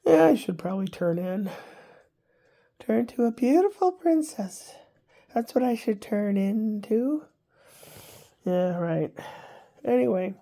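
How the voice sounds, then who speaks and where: Older woman vlogger, congested, gravelly, rambling casual chat. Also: mid-range voice, animated, slow pace, pauses often, dark tone. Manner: rambling, chatty, wry asides.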